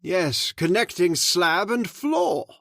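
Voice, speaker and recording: An actor playing a credulous old man steeped in occult lore, speaking slowly and solemnly in old-time radio drama style. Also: high-pitched, sing-song, vocal fry, a bit bright, dry recording, studio quality, heavily acted. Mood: grave. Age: older. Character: credulous old male scholar of the occult, grave.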